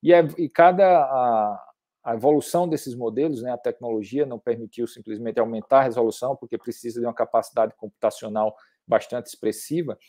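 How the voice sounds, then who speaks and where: A middle-aged Brazilian man giving an online academic lecture, haltingly, repeating words as he builds his sentences. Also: medium pitch, mellow. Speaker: climate researcher, guest lecturer.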